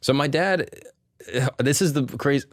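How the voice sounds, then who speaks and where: A man's voice: fairly high pitch, unhurried, no echo, studio quality. A man in his thirties hosting a casual comedy chat, telling rambling stories full of fillers and easy laughs. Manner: loose, laughing, filler-heavy storytelling. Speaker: podcast host.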